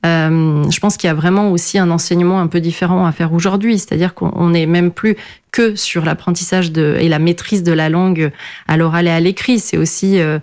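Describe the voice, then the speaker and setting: A woman's voice: lowish voice, fairly even intonation, brisk, a little mellow. A middle-aged woman from France whose first language is Portuguese, answering interview questions in a hesitant way with many fillers and restarts. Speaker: guest.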